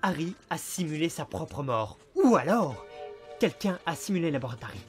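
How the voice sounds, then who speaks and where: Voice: mid-range voice, big pitch swings, normal speed, close to an everyday voice. A performer voicing a small talking creature, a cocky amnesiac detective with a sarcastic, dramatic, wisecracking manner, in a French film fan dub. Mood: insistent. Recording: music behind the voice.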